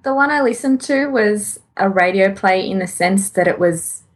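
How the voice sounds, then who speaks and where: A woman's voice: medium pitch, expressive, moderate pace. A woman co-hosting a podcast in casual conversation, candid, informal and a little self-deprecating. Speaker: podcast co-host.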